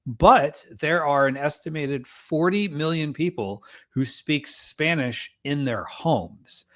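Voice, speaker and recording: A man's voice: a little high, expressive, slow. A man casually walks viewers through a screen-share tutorial as a seller consultant, with friendly self-deprecating asides.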